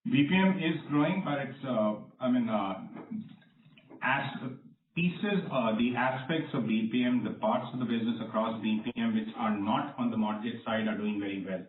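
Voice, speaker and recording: A man speaking as a company executive on an earnings call, formal and measured, answering analysts with confidence. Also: mid-range voice, a little hiss or hum. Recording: roomy, distant, tinny phone-band conference line.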